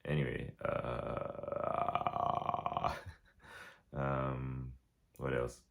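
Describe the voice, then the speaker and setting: A man talking through his thoughts in a casual reflective vlog, with a deep relaxed voice, many restarts and fillers. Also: drawn-out words, gravelly. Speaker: vlogger.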